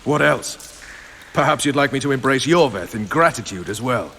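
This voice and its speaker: An actor playing a gruff, cynical military commander in a video game, blunt and sardonic. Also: male voice, mid-range voice, lively intonation, normal speed, a bit bright, slight background noise. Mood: indignant, sarcastic. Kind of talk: video game cutscene acting. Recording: faint rain and ambience behind.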